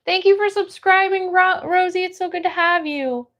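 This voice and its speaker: American girl streamer, woman w really high voice, friendly and casual, talking to chat. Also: fairly even intonation, normal speed, fairly bright tone. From United States. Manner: friendly, encouraging, greets people by name. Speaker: livestream host. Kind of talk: casual livestream chat, greeting viewers and reading comments aloud.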